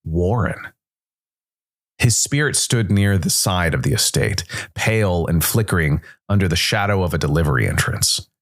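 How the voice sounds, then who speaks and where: A man narrating a scripted first-person noir story as a world-weary supernatural detective, brooding and dramatic, with deliberate, weighted pauses. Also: low-pitched, lively intonation, a bit bright, heavily acted. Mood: brooding, tense. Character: world-weary supernatural noir detective, male jazz musician working for Death.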